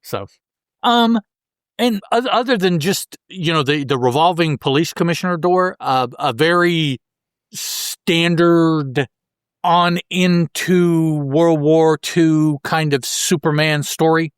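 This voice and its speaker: A man co-hosting a podcast, casually discussing a comic book story and thinking aloud in a halting way. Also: fairly high pitch, smooth, no echo, studio quality. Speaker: podcast co-host.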